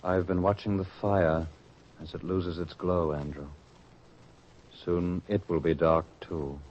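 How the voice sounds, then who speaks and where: A man's voice: sits low, flat delivery, unhurried, a little mellow, a little hiss or hum. A man acting a thoughtful, penitent disciple in a religious radio drama, speaking formally and theatrically. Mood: pensive. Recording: muffled radio recording with music.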